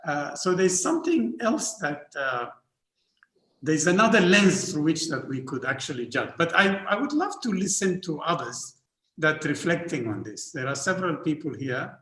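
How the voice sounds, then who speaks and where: A man from Northern Sudan, an art historian speaking on an academic panel, halting often with repeated fillers and restarts. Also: on the high side, very animated, pushed and bright. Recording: roomy echo.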